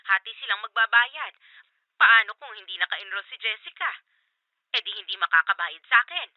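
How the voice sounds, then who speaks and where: Nagging middle-aged aunt on a muffled phone line, lively swings in pitch. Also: female voice, normal speed, no room sound. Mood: pleading. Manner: pleading, nagging. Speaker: aunt calling her nephew. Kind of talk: Filipino radio drama acting.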